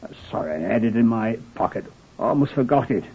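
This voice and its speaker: An actor playing a deferential, eager police underling who speaks hesitantly and apologetically in an old radio drama. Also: male voice, lowish voice, lively intonation, brisk, a little hiss or hum, lightly acted. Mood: sheepish, eager. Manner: hesitant, apologetic, says sir often. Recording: muffled, band-limited vintage radio recording.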